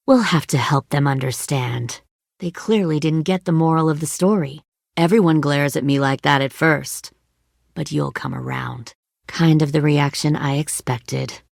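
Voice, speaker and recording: A woman's voice: low voice, unhurried, creaky, a little mellow, dry recording, studio quality, full character voice. An actress voicing a ruthless, hardened woman who leads a survivor militia in a video game drama, speaking in a controlled, menacing and persuasive way. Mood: cold, menacing.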